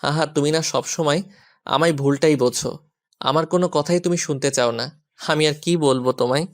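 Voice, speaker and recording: A man's voice: a little high, moderate pace, natural voice. A man playing a flustered, exasperated young husband who pleads and gives in, in a romantic audio story. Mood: pleading, resigned.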